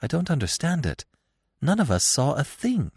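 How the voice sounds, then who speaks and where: Man as an urgent, high-pitched mother deer. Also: huge pitch swings, moderate pace, darkish tone, husky, no room sound, clean studio sound, exaggerated voice. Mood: shaken. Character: urgent, protective mother deer, frightened but commanding.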